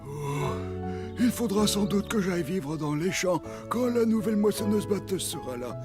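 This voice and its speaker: A gloomy, simple-hearted combine harvester from a children's cartoon, voiced by a woman. The voice is mid-pitched and plaintive, with music clearly playing underneath. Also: expressive, moderate pace, natural voice. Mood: glum.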